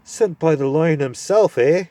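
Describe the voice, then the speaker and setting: A man voicing a deaf, irritable old male dwarf who grumbles loudly and impatiently, in a storybook reading. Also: a little high, measured pace, dry recording, voice pushed up, natural voice. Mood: welcoming.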